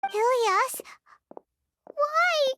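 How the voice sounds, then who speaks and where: A woman voicing a tiny, childlike fairy companion in a video game, squeaky, feisty and earnest, given to exclamations. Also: lively intonation, very slow, very noisy, exaggerated voice. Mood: pleading. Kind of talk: scripted video game voice acting.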